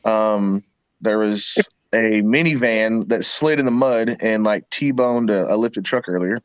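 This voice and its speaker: A man's voice: fairly low pitch, a little slow, clear, resonant tone, no echo. Blunt Texan man on a dull line. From Texas, Dallas-Fort Worth area. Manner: blunt, profane, storytelling. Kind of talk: casual storytelling. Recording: slightly dull dry line.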